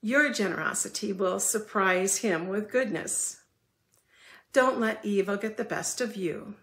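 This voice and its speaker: Slow-paced woman reading scripture, roomy echo. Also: medium pitch, fairly bright tone. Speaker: scripture reader. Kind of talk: measured scripture reading in a worship service. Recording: roomy, echoing church sound.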